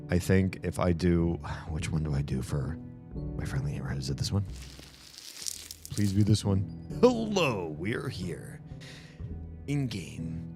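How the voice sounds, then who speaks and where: A man's voice: sits low, big pitch swings, measured pace, creaky, long pauses. A man chatting casually and at length on a live stream from a room at home, rambling and correcting himself as he goes. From United States. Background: music.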